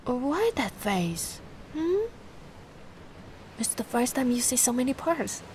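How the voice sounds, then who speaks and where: A woman's voice: high voice, big pitch swings, takes it slowly, darkish tone, slight background noise, exaggerated voice. A woman from Italy playing a giant, affectionate, bubbly young mermaid in a cutesy, cooing ASMR roleplay. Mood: sweet, caring. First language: Italian. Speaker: roleplay performer.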